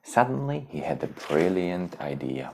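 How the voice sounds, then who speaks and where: Very deep-voiced, animated father reading aloud. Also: moderate pace, vocal fry, slight background noise. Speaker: father reading a bedtime story to a child. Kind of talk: children's storybook read-aloud.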